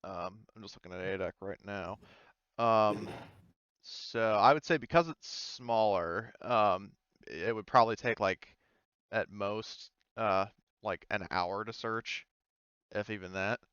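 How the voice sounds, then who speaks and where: Male game master, low clean voice, casual thinking-aloud narration, dry and slightly dull recording. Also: takes it slowly, a bit bright, smooth, dry recording.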